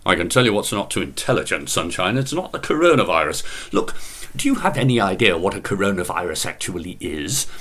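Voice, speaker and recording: A man's voice: low-pitched, very animated, fairly quick, a bit bright, heavily acted. A man playing an exasperated, dryly incredulous doctor in a radio comedy sketch.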